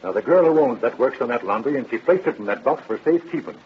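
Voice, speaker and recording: An actor playing a shrewd, folksy police captain with a wry, needling, informal manner in a 1930s radio drama. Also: male voice, medium pitch, animated, fast talker, breathy edge. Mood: coaxing. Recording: dull vintage radio broadcast.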